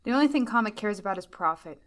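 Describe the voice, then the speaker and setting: A woman's voice: medium pitch, lively intonation, quick pace, a bit bright, lightly acted. Bitter, distrustful young woman, played by a woman in an audio drama; grim and insistent. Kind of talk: fan audio drama acting.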